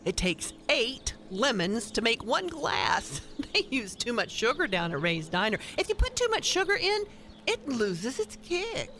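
High, animated, meddling older woman. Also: faint music behind, full character voice. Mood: folksy, chatty. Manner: brisk, folksy, opinionated. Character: opinionated, folksy older married woman, meddling neighbor. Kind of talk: radio play acting.

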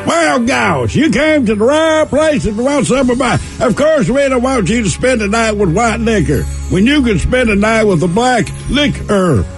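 A man putting on a high, squeaky falsetto voice as a comic character in a radio comedy bit. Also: animated, normal speed, few pauses, faint music behind. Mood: mocking.

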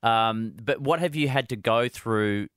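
A man at an ordinary pitch hosting a conversational interview. He asks curious questions and often restarts his sentences as he goes. His voice is dry and close to the mic. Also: measured pace, fairly bright tone, clean tone, no room sound.

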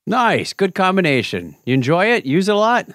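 A male podcast host with a fairly high, bouncy voice that swings all over its range. He chats casually and jokes around. The sound is dry and close, with no room echo. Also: moderate pace. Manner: lively, jokey, tangent-prone. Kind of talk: casual interview chat.

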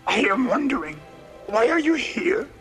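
An actor playing a small, wise, teasing old creature who speaks in inverted, playful questions. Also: male voice, very high-pitched, very animated, fairly bright tone, full character voice. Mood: playful, curious. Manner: inverted word order, playful drawn-out questioning. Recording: music behind the voice.

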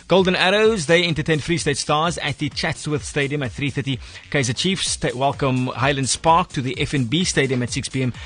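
A South African man hosts a sports radio show with a medium-pitched voice. He presents football results and puts questions to a guest in the studio, with some music behind him. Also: a bit bright.

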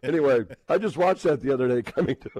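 A man's voice: medium pitch, lively intonation, fast, mellow. A man with an American accent hosting casual sports radio banter, rambling chattily and acting out little quoted exchanges.

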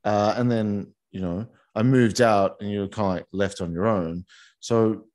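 A man's voice: fairly low pitch, fairly even intonation, normal speed, a little mellow. A man, a guest in an interview, talking casually and rambling with many fillers and restarts. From Australia. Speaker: guest, massage teacher for couples.

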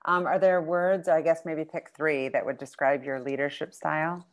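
Casting note: woman host, very low voice, friendly, upbeat and encouraging as she asks questions. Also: fairly even intonation, moderate pace. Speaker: interviewer. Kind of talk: interview questions.

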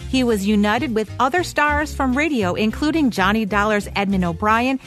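A woman's voice: a little high, normal speed, a bit bright. American woman co-hosting radio over music. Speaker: radio show co-host. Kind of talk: scripted radio history commentary.

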